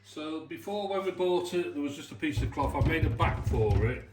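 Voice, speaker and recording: A British man explaining his restoration work in a halting, matter-of-fact, colloquial way. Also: on the high side, animated, darkish tone. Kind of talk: casual hands-on explanation. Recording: roomy, off-mic, background hum and noise.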